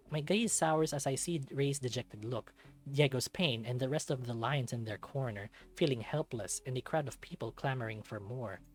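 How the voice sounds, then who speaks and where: A medium-pitched voice reads a visual novel aloud as a dramatic narrator. Music plays behind the reading. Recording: background music under the voice. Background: game music.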